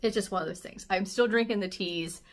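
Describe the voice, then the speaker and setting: A woman in her forties with a slightly raspy voice, chatting casually and candidly as a vlogger giving a personal update. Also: mid-range voice, brisk. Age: 40s. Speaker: health vlogger.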